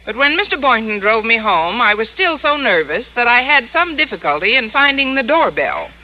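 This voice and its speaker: A woman's voice: fairly high pitch, sing-song, moderate pace. A woman playing a wry, wisecracking schoolteacher who narrates and chats in a 1950s radio comedy. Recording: noisy muffled old radio broadcast recording.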